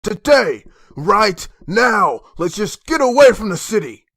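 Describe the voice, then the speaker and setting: A man's voice: high-pitched, sing-song, unhurried, creaky, a bit bright, husky, no echo, clean studio sound, heavily acted. A man voicing a stern, desperate father who leads a group of survivors in a comic dub. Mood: urgent, angry.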